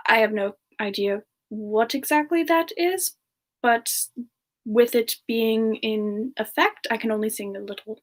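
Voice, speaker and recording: A woman playing a sweet, bashful female demon singer, soft-spoken and hesitant, in a semi-ASMR livestream chat. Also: a little high, a little slow. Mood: bashful, friendly.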